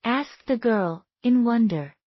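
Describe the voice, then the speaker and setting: Woman storybook narrator, fairly high voice, scripted read-aloud, dry slightly dull recording. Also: expressive, takes it slowly, no echo.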